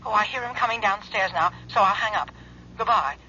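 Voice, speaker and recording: An actress playing a sharp-tongued older mother and grandmother who scolds and insists, in a 1970s radio drama. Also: mid-range voice, brisk, noisy background, lightly acted. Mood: insistent. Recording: dull old radio broadcast recording.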